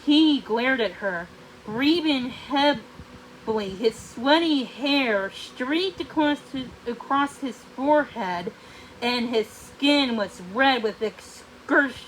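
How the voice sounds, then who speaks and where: A woman narrating a storybook aloud, haltingly, often stumbling and going back over phrases. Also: sits high, animated, slight background noise, rough recording.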